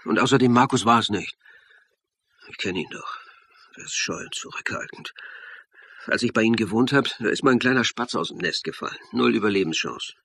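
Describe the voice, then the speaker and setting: Husky, earnest man. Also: lowish voice, brisk, a bit bright, exaggerated voice. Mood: anguished, guilty. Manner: earnest, intense. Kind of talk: German radio crime drama acting and narration.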